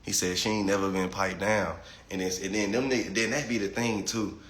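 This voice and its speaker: A man's voice: low-pitched, fairly even intonation, a little fast, fairly bright tone, echoey room. A man in his late twenties talking bluntly and profanely on a casual livestream. He reads chat comments and often repeats words as he starts a thought. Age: 20s. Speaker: livestream host reading chat.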